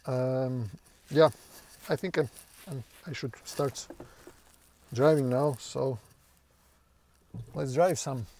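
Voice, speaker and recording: A man's voice: medium pitch, slow, pauses often, dark tone, a little hiss or hum. A man, rambling casually and hesitantly through a review of his new car from inside it, swearing now and then. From Croatia. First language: Croatian. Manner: loose, hesitant, full of fillers and restarts, swears casually.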